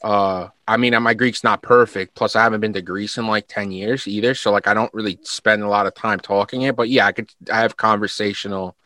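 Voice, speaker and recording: A man's voice: lowish voice, steady tone, brisk, hardly any pauses, bright, forceful tone, no echo. A young man with a New York accent, chatting casually, swearing freely and saying "like" and "bro" a lot. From United States. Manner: laid-back, swears freely, says "like" and "bro" often. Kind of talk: casual chat.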